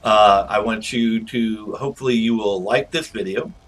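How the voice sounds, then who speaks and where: A man's voice: lowish voice, moderate pace. A man doing casual, chatty game commentary as a channel host, rambling informally through asides.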